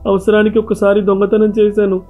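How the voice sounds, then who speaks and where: A man playing an earnest young college student son in an animated moral story. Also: high-pitched, a little fast, faint music behind, close to an everyday voice. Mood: pleading.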